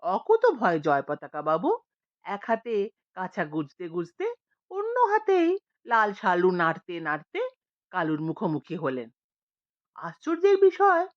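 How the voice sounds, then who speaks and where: A woman narrating a comic story expressively, with theatrical emphasis and dramatic pauses. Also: mid-range voice, muffled recording.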